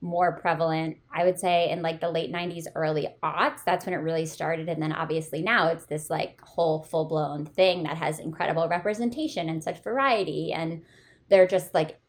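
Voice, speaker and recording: Woman hosting a book podcast, lowish voice, jokey and playful. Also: fairly even intonation, normal speed. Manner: playful, bantering. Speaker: podcast host. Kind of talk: casual chat.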